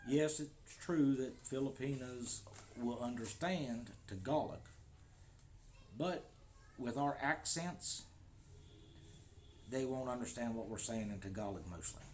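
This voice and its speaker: A man's voice: mid-range voice, a little mellow, slight background noise. An American man in casual vlog talk to the camera, easygoing and joking, recorded at his home in the Philippines. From United States. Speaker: vlogger.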